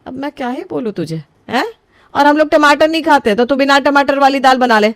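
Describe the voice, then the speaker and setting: A woman's voice: sits high, lively intonation, fairly quick, no echo. A woman voicing a strict, frugal middle-aged mother-in-law who scolds and bosses her new daughter-in-law, in a dry close recording. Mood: exasperated. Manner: scolding, bossy, calls everyone beta. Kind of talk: scripted family story voicing.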